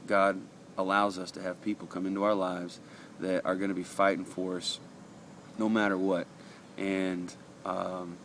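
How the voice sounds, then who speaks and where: Deep-voiced man giving an earnest devotional. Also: slight background noise. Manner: earnest, conversational, with frequent uh fillers and restarts. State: sniffly from allergies. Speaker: daily devotional host. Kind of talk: casual devotional talk, reading passages aloud.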